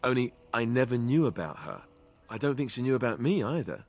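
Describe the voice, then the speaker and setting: An actor playing an earnest, gentle young warrior in a fantasy game, speaking with hesitant sincerity. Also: male voice, mid-range voice, very animated, fairly quick, faint music behind. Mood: hesitant, sincere.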